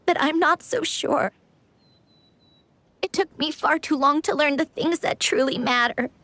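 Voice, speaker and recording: A woman's voice: high voice, measured pace, fairly bright tone. A woman voicing a proud, bashful young scholar and captain in a video game, reserved and brusque. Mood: tender, grieving. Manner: reserved, brusque, reluctant to show feelings.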